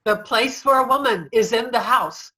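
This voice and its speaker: A woman's voice: a little high, normal speed, bright, forceful tone. A woman delivering theatrical scripted narration in a reader's theater, in a declamatory manner.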